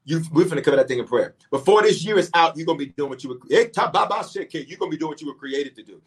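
Middle-aged preacher guy, kinda high voice, talks soft then jumps loud, keeps repeating himself and calling out to viewers. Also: very fast. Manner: animated preaching, swinging from quiet asides to sudden loud emphatic peaks; talks directly to online viewers, calling for waves and shout-outs. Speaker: pastor and bishop. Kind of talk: livestreamed sermon and prayer.

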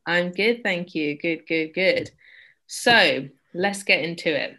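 A woman hosting an interview, asking relaxed, encouraging questions after a scripted introduction. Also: lowish voice, slow pace. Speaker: podcast host.

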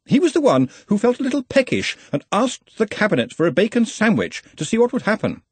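A man's voice: high-pitched, wildly swooping pitch, fairly quick, husky, dry recording, pitched above the performer's own voice, heavily acted. A man voicing an anxious, pedantic, long-suffering wizard's assistant in a storybook, fussy and deferential. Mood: fussy explaining.

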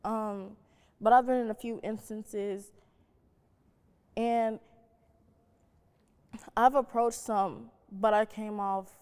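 Young female student panelist, smooth clear tone, very slow delivery, reverberant hall. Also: mid-range voice, fairly even intonation, darkish tone. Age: young adult. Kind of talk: reflective panel answer. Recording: echoey hall with long reverb.

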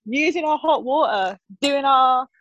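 A woman's voice: sits high, unhurried, fairly bright tone, no echo. A woman in her thirties with a Northern English accent, co-hosting a casual chat with a friend and teasing her with frequent laughs. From Northern England. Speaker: podcast co-host. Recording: dull, dry lo-fi sound.

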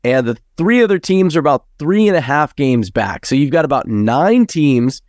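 Man, sports podcast host, slightly raspy, congested, fairly high voice, chatty, long questions, dry close sound. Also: expressive, normal speed, a little mellow, no echo. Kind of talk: casual sports podcast talk.

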